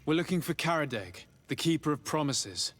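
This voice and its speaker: A man playing a calm, diplomatic adventuring envoy in a video game, speaking in a level-headed, polite way. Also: a little high, a little fast. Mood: calm, inquiring. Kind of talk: video game cutscene acting.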